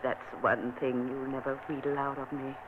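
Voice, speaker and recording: A woman's voice: very deep voice, little pitch movement, normal speed. An actress playing a dying, secretive noblewoman who sounds weak yet defiant, in vintage radio drama style. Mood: frail. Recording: tinny old radio recording with hiss.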